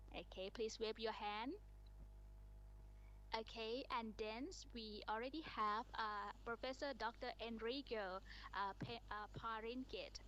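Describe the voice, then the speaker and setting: Woman moderator with a slightly high voice, halting delivery full of fillers, faint hum behind her. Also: fairly bright tone, low-quality recording. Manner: halting, many fillers, reads titles. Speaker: session moderator. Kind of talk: introducing conference speakers.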